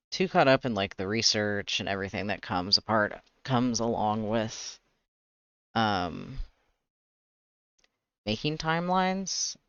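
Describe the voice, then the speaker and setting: A man with a slightly raspy voice chatting casually on a livestream, rambling and pausing to search for words. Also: lowish voice, a bit bright, no echo, dull audio. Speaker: streamer, webcomic artist. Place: indoors, livestream.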